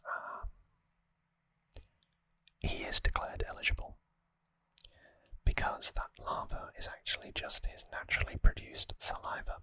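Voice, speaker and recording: Close, bright ASMR whisper. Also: measured pace, pauses often, no echo, rough recording. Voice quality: whispered, breathy, unvoiced. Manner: hushed, close, conversational retelling. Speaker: ASMR host. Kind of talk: ASMR, whispered recap of an anime storyline. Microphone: close, dry microphone.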